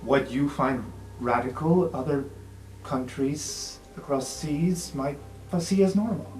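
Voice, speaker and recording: A performer voicing a kindly, bookish professor with a put-on accent, measured, formal and gently wry. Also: medium pitch, natural voice. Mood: thoughtful, amused. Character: kindly, bookish professor and librarian, amused and indulgent. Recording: roomy sound with music behind. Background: guitar music.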